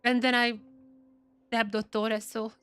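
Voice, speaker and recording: A woman's voice: fairly high pitch, level tone, takes it slowly, fairly bright tone, clean tone. A woman live streaming and reacting casually to a game, chatty and repeating phrases for emphasis.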